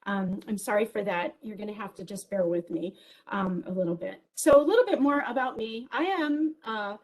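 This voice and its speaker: A woman with a big voice giving an enthusiastic virtual conference keynote, lively and peppered with ums and small jokes. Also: medium pitch, normal speed. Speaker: keynote speaker.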